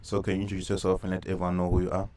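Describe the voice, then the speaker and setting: A man's voice: deep voice, completely flat delivery, racing, darkish tone. A man hosting a casual interview, putting conversational questions to his guests. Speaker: interviewer, host. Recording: faint background noise and ambience.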